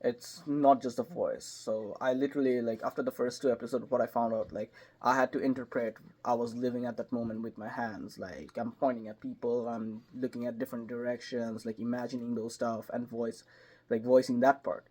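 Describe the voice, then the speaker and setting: Man, voice actor guest. Animated, rambling storyteller, mid-range voice, leans on "like", gets loud when excited. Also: medium pitch, brisk. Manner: loose, hesitant phrasing with many "like"s. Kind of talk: casual interview chat.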